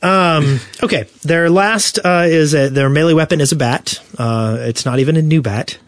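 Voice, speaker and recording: A man co-hosting a casual gaming podcast, explaining things in a halting, self-correcting way. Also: a little high, slight background noise. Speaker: podcast co-host.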